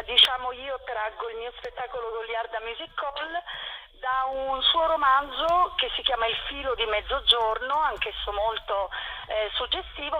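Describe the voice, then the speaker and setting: A woman giving a conversational interview as a theatre guest, phrasing her thoughts in a halting, reflective way over a dull link. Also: a little high. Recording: dull radio or phone link.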